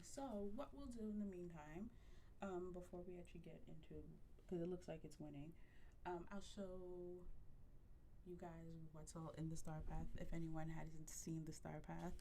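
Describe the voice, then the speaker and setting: A soft-spoken woman chats casually as she streams a game, leaning close to her microphone. Her lowish voice carries a gravelly, fry-edged texture. A faint hum of noise sits under the recording. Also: low-quality recording. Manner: soft-spoken, chatty, with frequent ums. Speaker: livestreamer. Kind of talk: casual gaming livestream chat. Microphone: close to a desk microphone.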